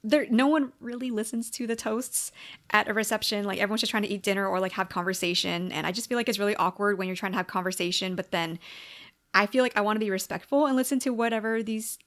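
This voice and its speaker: A woman's voice: mid-range voice, quick pace, a bit bright. Young US woman, casual vlogger, mid-range voice, chatty and filler-heavy. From United States. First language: English. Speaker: tarot YouTuber. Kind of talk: casual sit-down video diary. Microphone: camera mic at a sit-down chat.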